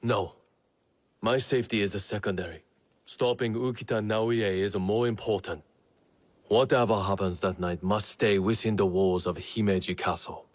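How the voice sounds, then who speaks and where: Low-voiced resolute samurai lord played by a man. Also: fairly even intonation, no room sound, close to an everyday voice. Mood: firm, resolute. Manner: formal, deliberate, grave. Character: resolute, measured middle-aged samurai lord, strategist. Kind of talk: dramatic video game acting.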